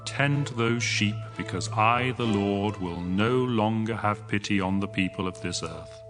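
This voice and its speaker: An actor playing a stern God in a scripture audio drama, speaking in a solemn, deliberate, commanding manner. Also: male voice, lowish voice, over music, lightly acted. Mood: stern, pitiless.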